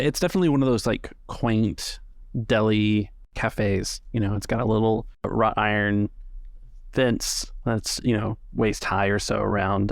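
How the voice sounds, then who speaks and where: A man's voice: on the low side, vocal fry, dry recording. A man narrating a tabletop game as game master. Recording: dry close recording, music behind.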